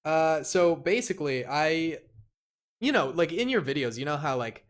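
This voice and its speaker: A man talking casually and informally as a podcast guest, a YouTuber who uses slang and halting phrasing. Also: on the high side, lively intonation. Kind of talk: casual chat.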